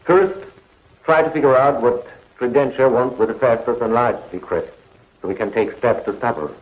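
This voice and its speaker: An actor playing a stern, urgent commissioner in a 1950s television sci-fi serial, speaking emphatically. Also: male voice, mid-range voice, normal speed, natural voice. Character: stern, urgent space commissioner leading the response. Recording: dull vintage TV soundtrack.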